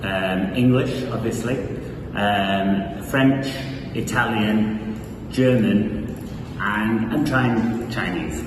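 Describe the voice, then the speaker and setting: A man in his thirties speaks slowly and lists things one at a time, pausing between them. He is recorded from some distance in a classroom with a whiteboard. Strong room echo and a long tail of reverb hang on his fairly low voice. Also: background noise. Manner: unhurried, listing with pauses. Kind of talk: interview answer.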